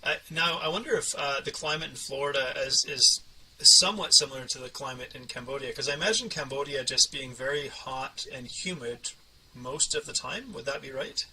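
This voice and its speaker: A man's voice: medium pitch, moderate pace, sharp tone, far from the mic, poor audio. A man hosting an interview podcast and talking conversationally with a guest. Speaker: podcast host and coach.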